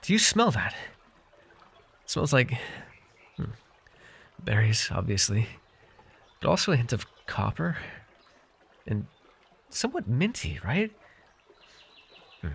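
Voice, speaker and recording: Animated fae potion brewer, played by a man. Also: mid-range voice, husky, full character voice. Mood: pleased. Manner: hesitant, chatty, muttering asides to listener. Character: chatty male fae potion brewer, wry and friendly. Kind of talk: fantasy ASMR roleplay audio drama.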